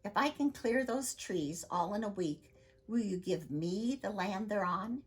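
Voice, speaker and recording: A woman's voice: medium pitch, animated, a little slow, husky, pitched above the performer's own voice. A woman voicing a clever, bold young female troll in a storybook, brash and often sing-song like a rhyme. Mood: bold, bargaining.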